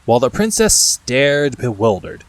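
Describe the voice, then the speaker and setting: A man narrating a classic children's story from a script, expressive and speaking directly to the listener. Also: medium pitch, a little slow, rough recording. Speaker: audiobook narrator.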